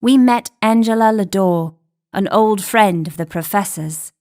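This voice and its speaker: An actress playing a confident, sharp-tongued young woman, a professor's assistant, in an animated game. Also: medium pitch, unhurried, no echo, clean studio sound, natural voice. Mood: reflective. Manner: composed narration, teasing banter. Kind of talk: animated game cutscene acting and story narration.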